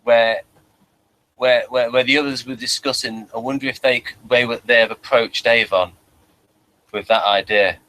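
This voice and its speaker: A man co-hosting a casual chat, asking questions in a halting way and repeating his opening words. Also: medium pitch, steady tone, sharp tone, poor audio. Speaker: podcast co-host asking questions.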